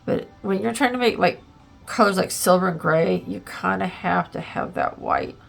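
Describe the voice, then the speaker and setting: A woman's voice: fairly low pitch, normal speed, creaky, soft background music. A woman hosting a casual art tutorial, a little congested and plugged up, chatting and thinking aloud as she works, with lots of ums and likes. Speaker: art channel host.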